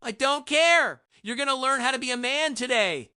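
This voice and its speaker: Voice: high voice, moderate pace, sharp tone, clean tone. A performer voicing a hostile young bully with an aggressive, taunting manner in an amateur audio drama. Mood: sneering, aggressive.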